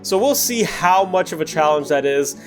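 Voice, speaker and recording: A man with a fairly high-set voice gives casual video game commentary as the host. He talks in a chatty, reflective way, often hesitating partway through a thought. Music plays clearly behind him. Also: lively intonation, normal speed. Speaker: host of a gameplay series. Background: music under the voice.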